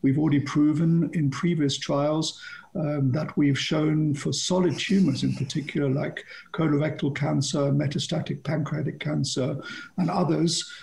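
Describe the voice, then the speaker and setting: A man, a company chief executive, introducing his firm on an online panel in a brisk, wry manner. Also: on the high side, fairly even intonation, creaky, darkish tone, rough recording. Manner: brisk and wry, light self-deprecating humor. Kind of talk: prepared self-introduction on a panel.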